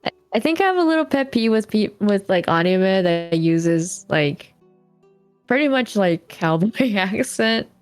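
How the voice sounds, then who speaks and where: A woman's voice: medium pitch, animated, measured pace, smooth, dry recording, soft background music. Japanese-accented woman chatting hesitantly. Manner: hesitant, searching for words. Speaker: guest. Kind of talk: casual chat.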